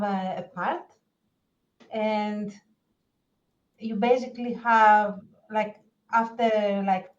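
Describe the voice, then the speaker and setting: A woman talks slowly and hesitantly in casual podcast conversation, pausing to find her words. The recording is a little dull and lo-fi. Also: medium pitch, distant mic. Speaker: podcast participant, comic creator.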